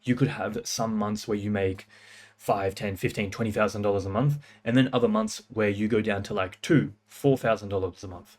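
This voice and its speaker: Punchy, confident young man, lowish voice. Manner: fast, punchy, confident delivery with slang. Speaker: music producer and sales coach. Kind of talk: casual talking-head advice.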